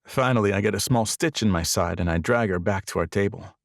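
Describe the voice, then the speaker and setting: A man's voice: fairly low pitch, fairly quick, no echo, studio quality. A man narrating a first-person romance novel as an audiobook.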